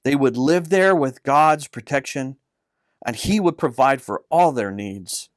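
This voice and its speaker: A man narrates a children's Bible story from a script, measured and earnest. His pitch sits in the middle, and the recording is very dry. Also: animated, no echo. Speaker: storyteller, author reading own story.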